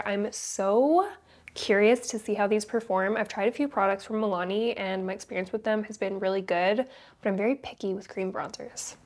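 A woman's voice: mid-range voice, darkish tone. Young female beauty creator, casual and candid, slightly stuffy nose, studio setup. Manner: upbeat, chatty and candid. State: congested from a cold. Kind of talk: casual makeup tutorial chat. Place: indoor studio, plain backdrop.

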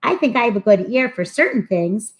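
Storybook schoolkids, voiced by a woman: eager, friendly, earnest, higher pitched, swinging intonation. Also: brisk. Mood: encouraging. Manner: expressive, earnest. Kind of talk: animated storybook read-aloud.

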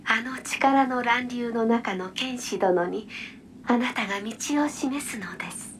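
A woman voicing a wise, calm old witch mentor in a game, speaking in a measured, formal way. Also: fairly high pitch. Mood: calm, grave. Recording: distant voice with faint noise.

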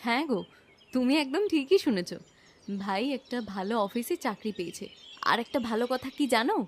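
Woman voicing a modest, higher-pitched cartoon housewife. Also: expressive, a little fast, slight background noise, close to an everyday voice. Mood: pleased. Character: modest, contented, patient village sister-in-law and housewife. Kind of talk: cartoon moral story voice acting.

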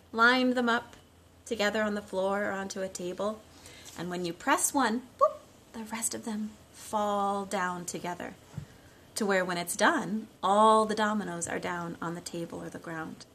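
A woman in her thirties reading and talking to young children in simple, expressive storytime phrasing, recorded on a phone at home. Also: medium pitch, normal speed. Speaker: children's storytime reader and teacher. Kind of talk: storytime read-aloud and talk to young children. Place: living room at home, indoors. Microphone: phone at about arm's length. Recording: noisy homemade phone recording.

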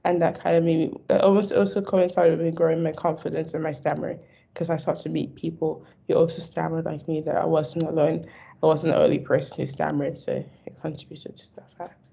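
A woman's voice: sits low, little pitch movement, fairly quick, creaky, warm tone, slightly rough. A young woman who stammers, repeating words and restarting, answering questions in a video call interview. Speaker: stammering awareness advocate. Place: indoor room. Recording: dull lo-fi video call audio.

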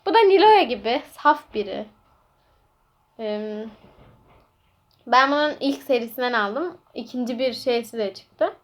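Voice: medium pitch, animated, unhurried, pauses often. A young-sounding host casually chatting to viewers, prompting a co-player through a homemade guessing game. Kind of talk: casual playful chat to viewers.

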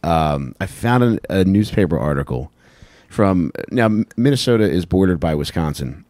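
Comedy podcast co-host: American man, low voice, lively inflection, slangy and sweary, loose storytelling. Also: normal speed, no room sound. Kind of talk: casual comic storytelling. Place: small wood-panelled podcast room, indoors. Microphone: handheld microphone held close.

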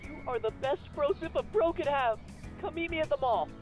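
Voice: high-pitched, fairly quick, soft background music, natural voice. An actor playing a dramatic, needy college guy in a visual novel game, with a pleading delivery. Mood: grateful. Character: dramatic, needy male college best friend.

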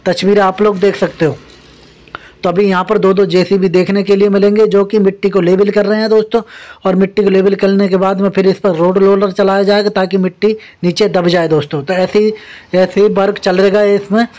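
A man from India narrating a casual vlog while walking outdoors at a highway construction site, pointing things out to his viewers as friends. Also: level tone, a little fast, a little mellow. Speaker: vlogger.

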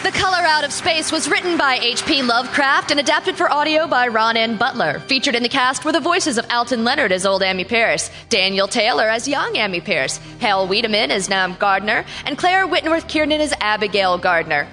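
A woman's voice: on the high side, lively intonation, brisk, few pauses, bright, forceful tone, faint music behind. A woman announcing the credits of a radio drama in a playful, theatrical way. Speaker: show announcer.

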